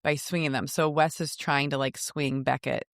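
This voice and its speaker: A woman's voice: low voice, fairly even intonation, moderate pace, no echo. Middle-aged woman chatting, close dry sound. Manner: conversational storytelling, quotes her kids. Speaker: podcast co-host. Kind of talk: casual chatty parenting podcast talk.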